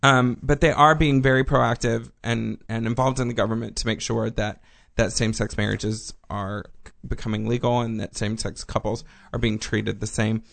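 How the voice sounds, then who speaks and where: A man's voice: medium pitch, fairly even intonation, normal speed, gravelly, fairly bright tone. A man from Texas co-hosting a casual news chat, rambling with many ums and restarts. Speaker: podcast co-host.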